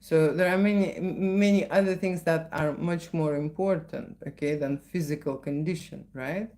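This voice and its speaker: A woman's voice: low-pitched, normal speed, mellow. A middle-aged woman with a Lithuanian accent, a photographer chatting in a live question session and often tagging her sentences with "okay?" and "right?". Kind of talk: live Q&A chat.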